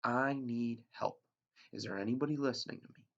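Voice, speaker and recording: A man reading the part of a frightened teenage boy calling over the radio for help, slow and pleading, in his own low, gravelly voice. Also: close to an everyday voice. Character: frightened teenage boy alone at a plane's controls. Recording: dull lo-fi recording.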